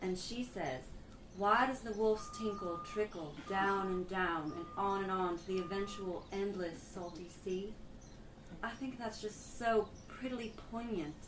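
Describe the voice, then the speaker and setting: A woman's voice: mid-range voice, measured pace, close to an everyday voice. A woman acting as an earnest, gushing, oversharing student editor in a satirical radio play. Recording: distant echoey room, faint noise.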